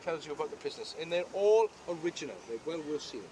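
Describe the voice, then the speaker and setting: A man's voice: a little high, animated, fast talker, darkish tone, a little hiss or hum, low-quality recording. An older Welsh man giving a jokey, chatty guided tour outdoors at a historic castle, often asking the crowd questions.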